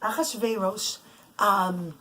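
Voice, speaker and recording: A woman telling a bedtime story to children off the cuff, in a halting way with emphatic repetition. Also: medium pitch, sharp tone, slightly rough. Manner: halting, restarts and loses the thread, emphatic repetition.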